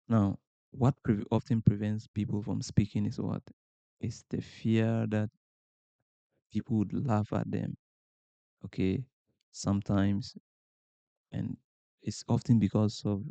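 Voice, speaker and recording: A man's voice: on the low side, gravelly, dark tone, no echo, muffled recording. A man from Ghana with a Ghanaian accent, hosting a podcast and giving earnest motivational advice to camera, asking rhetorical questions and repeating points for emphasis. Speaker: podcast host.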